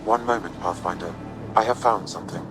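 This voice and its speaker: An actor playing a calm, precise artificial intelligence in a video game, speaking in a measured, formal, impassive way. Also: male voice, on the low side, over loud music, lightly acted, rough recording. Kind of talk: video game cutscene acting.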